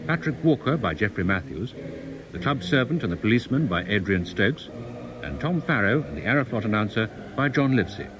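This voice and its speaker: A man reading scripted drama credits and introductions as a formal, measured radio continuity announcer. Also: medium pitch, dull audio, background noise. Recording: music behind.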